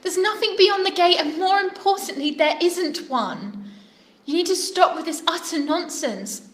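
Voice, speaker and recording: A woman's voice: extremely high pitch, expressive, measured pace. A woman playing an exasperated, worried mother who nags and scolds in an audio drama. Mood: exasperated, scolding. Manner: exasperated, nagging, dismissive of fairy tales. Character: worried, scolding, practical mother of a dreamy son. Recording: echoey room.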